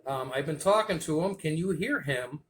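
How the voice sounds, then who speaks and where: A man's voice: a little high, animated, moderate pace. A man hosting a hobby video, telling stories in an informal, folksy and casual way. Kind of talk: casual vlog storytelling. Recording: clear background noise.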